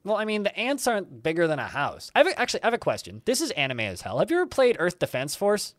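A man's voice: high-pitched, wildly swooping pitch, fast talker, dry recording. A man hosting a casual podcast who tells long, rambling stories full of fillers. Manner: rambling, laid-back storyteller with many fillers. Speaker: podcast host. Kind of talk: casual chat.

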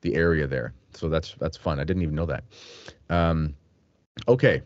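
A man's voice: very deep voice, moderate pace, darkish tone, no echo, dull audio. A man from Canada hosting an interview podcast, chatty and joking, with frequent fillers. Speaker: host.

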